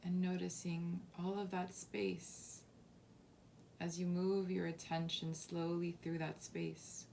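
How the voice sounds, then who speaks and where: A woman leading a guided body scan meditation as a teacher, softly and calmly, with unhurried phrasing and long pauses. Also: lowish voice, gravelly, slight background noise. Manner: soft, unhurried, calming instruction with long pauses.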